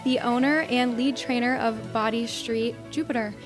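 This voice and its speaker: A woman with a fairly high voice hosts a podcast interview. She asks her guest questions and reacts with short, upbeat words. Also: fairly even intonation, normal speed, over music. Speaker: podcast host.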